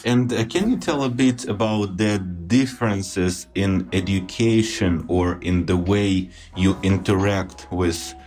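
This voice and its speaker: A man's voice: sits low, slow, few pauses, darkish tone. A man with a Ukrainian accent hosting a podcast, asking long, rambling questions full of fillers. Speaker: podcast host. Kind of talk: casual interview questions.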